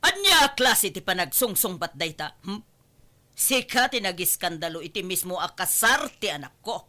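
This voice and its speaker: A woman's voice: mid-range voice, sing-song, normal speed, sharp tone. A woman playing an indignant, protective middle-aged mother in a radio drama, scolding and demanding answers. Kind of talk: Ilocano radio drama acting.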